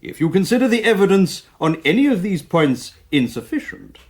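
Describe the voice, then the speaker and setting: A man's voice: high-pitched, big pitch swings, moderate pace. A British man playing a formal, methodical coroner in a radio drama, with slightly hesitant questioning. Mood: formal, instructive. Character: official male coroner presiding over inquest, courteous, methodical.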